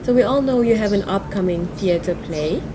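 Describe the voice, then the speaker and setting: A woman's voice: expressive, moderate pace. A woman with a Namibian accent, working as a reporter and conducting an interview. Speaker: news agency reporter, interviewer. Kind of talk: on-camera interview. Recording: noisy ambient background, traffic-like rumble.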